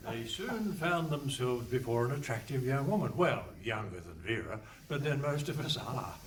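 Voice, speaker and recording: A man's voice: medium pitch, expressive, moderate pace. A man narrating a comic radio play on stage in a wry, scripted style. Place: hall stage with a live audience. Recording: distant stage pickup with some noise.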